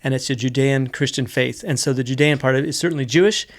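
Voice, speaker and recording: A man's voice: mid-range voice, fast. A man co-hosting a podcast, talking conversationally in an earnest, explanatory manner. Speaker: podcast co-host.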